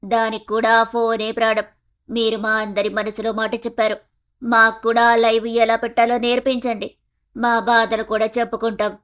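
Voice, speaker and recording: A woman voicing a chatty village neighbour who flatters and complains about her household, in an animated story. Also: on the high side, normal speed, close to an everyday voice. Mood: complaining. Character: gossipy village neighbour women airing household grievances. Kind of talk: animated moral story acting.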